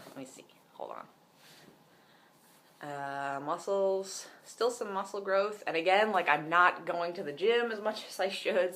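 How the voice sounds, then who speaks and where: A man's voice: fairly high pitch, a bit bright. A young man with a voice that cracks now and then gives a casual, rambling video diary update at home, with many ums and likes. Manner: rambling, lots of "um" and "like". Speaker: vlogger.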